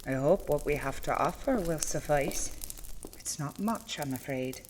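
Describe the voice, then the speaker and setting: Woman acting as a nun, slow deliberate delivery, solemn, devout, dry wit, horror radio play, noise in the recording. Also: deep voice, a little mellow, low-quality recording. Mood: humble, polite. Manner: measured, formal, solemn. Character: calm, devout convent nun, wry and gravely insistent. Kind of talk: horror audio drama acting.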